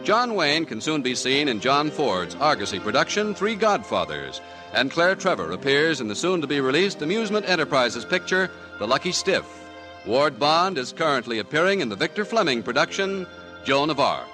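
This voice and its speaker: A man's voice: fairly high pitch, sing-song, normal speed. An American man reading a scripted announcement in the style of a 1940s radio announcer. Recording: old radio broadcast with music behind.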